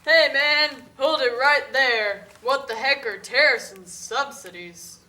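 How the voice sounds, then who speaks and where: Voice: high-pitched, takes it slowly, bright, forceful tone, heavily acted. A performer voicing a confused, slangy kid with questions in a puppet show. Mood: puzzled. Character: laid-back, confused slangy kid asking economics questions.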